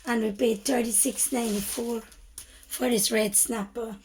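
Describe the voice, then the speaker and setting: A hoarse woman narrating a casual home cooking vlog, talking to her viewers as she makes breakfast. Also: fairly high pitch, fairly even intonation, normal speed. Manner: talks to viewers while cooking, calling them guys. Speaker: family vlogger. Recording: noisy home recording.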